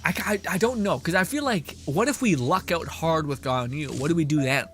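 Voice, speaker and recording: A man's voice: on the high side, lively intonation, a little fast, fairly bright tone, soft background music. Playful, swearing male game streamer. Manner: playful, rambling, swears, laughs a lot. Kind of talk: casual livestream commentary.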